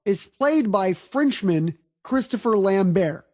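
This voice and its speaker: A man's voice: sits high, lively intonation, slow pace, darkish tone. Profane, joking man reviewing a movie. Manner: profane, jokey, breaks into mock accents. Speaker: podcast host. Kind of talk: casual movie review banter.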